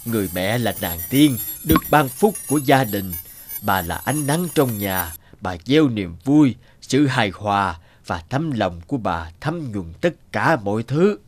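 A gentle old guardian spirit. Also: male voice, lowish voice, expressive, a little slow. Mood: solemn. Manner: gentle, patient, fatherly. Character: kindly old male family guardian spirit. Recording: studio voice-over with loud music.

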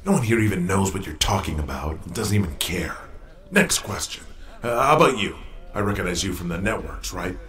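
An actor playing a brash, domineering wrestling promoter in an audio drama. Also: male voice, low voice, lively intonation, brisk, a bit bright. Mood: dismissive. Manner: smug, domineering.

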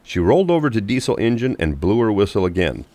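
A man narrating a children's storybook from a script in an expressive, clearly paced manner. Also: on the low side, quick pace, no room sound.

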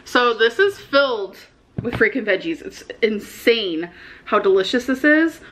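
A woman's voice: fairly high pitch, expressive, unhurried, fairly bright tone, a little hiss or hum, low-quality recording. A young adult American woman vlogging in a casual, slangy way, talking to camera at home. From United States. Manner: informal, slangy, frequent "like". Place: indoor living room at home.

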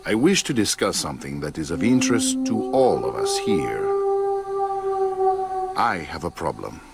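A man's voice: low voice, big pitch swings, moderate pace, gravelly, loud music behind the voice, heavily acted. A man voicing a cold, commanding cartel kingpin in a crime game, grave and formal. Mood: grave menace. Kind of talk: video game cutscene acting.

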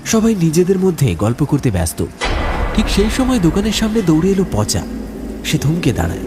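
Animated male horror-story narrator over loud music. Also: on the high side, brisk. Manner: dramatic, suspenseful.

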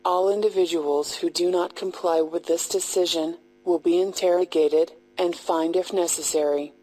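A woman reading a scripted emergency announcement in a measured, impersonal, formal way. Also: lowish voice, steady tone, warm tone, poor audio.

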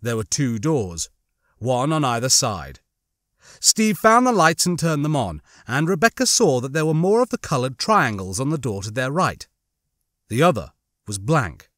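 A man narrating a suspense novel as an audiobook, with measured, dramatic storytelling. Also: mid-range voice, very animated, fairly bright tone, no echo, clean studio sound. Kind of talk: scripted dramatic fiction narration.

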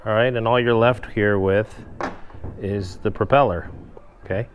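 Relaxed man explaining a kayak install. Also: lowish voice, a little slow, muffled recording, slight background noise. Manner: relaxed, explains step by step, addresses viewers directly. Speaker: kayak fishing vlogger. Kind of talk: casual hands-on tutorial. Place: garage workshop, indoors.

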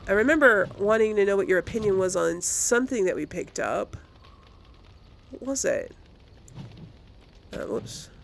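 A woman's voice: mid-range voice, creaky, a bit bright. A woman giving casual, chatty gameplay commentary to her viewers, often thinking aloud. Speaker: host of a video game let's play series.

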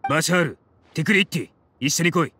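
An actor playing a stern, loyal mercenary in a video game, giving terse commands. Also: male voice, on the high side, fast, bright, forceful tone, slight background noise. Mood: commanding. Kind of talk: Japanese game voice acting.

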